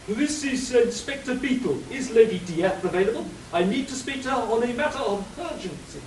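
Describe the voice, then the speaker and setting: A man's voice: extremely high pitch, lively intonation, a little fast, a little hiss or hum. A man playing an earnest, polite police inspector in a comic radio play, speaking formally and urgently. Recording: echoey room, distant mic.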